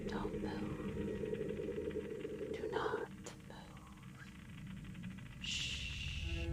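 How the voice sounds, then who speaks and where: Woman playing a slow whispering sadistic demoness. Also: on the low side, full character voice. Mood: gloating, commanding, then cruel, teasing. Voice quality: breathy whisper with creaky edge. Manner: slow, taunting, drawn-out menacing delivery. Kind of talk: whispered dark audio roleplay.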